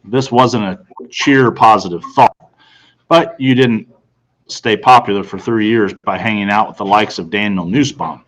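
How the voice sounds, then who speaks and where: A man's voice: fairly low pitch, measured pace, a bit bright, rough recording. A man reading a first-person storybook narration aloud. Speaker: audiobook reader.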